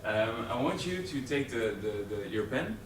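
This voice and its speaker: A man's voice: medium pitch, expressive, normal speed. A young man with a Dutch accent giving a live presentation to a training group, hesitant, with many fillers and restarts. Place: training room with an audience. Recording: roomy, distant camera audio with noise.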